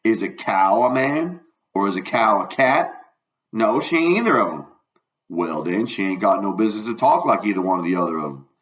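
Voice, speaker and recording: A man's voice: fairly low pitch, expressive, fairly quick, a bit bright, pitched above the performer's own voice. A man voicing a stubborn, uneducated runaway in a storybook reading, with a folksy Southern US dialect and an emphatic, argumentative manner. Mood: stubborn arguing. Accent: Southern US dialect, put on. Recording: roomy, echoing room.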